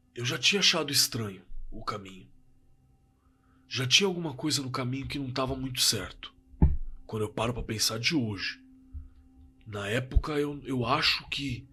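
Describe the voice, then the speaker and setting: A Brazilian man telling a story reflectively, halting and repeating phrases for emphasis. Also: mid-range voice, sharp tone, husky. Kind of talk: slow reflective storytelling with dramatic pauses. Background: music.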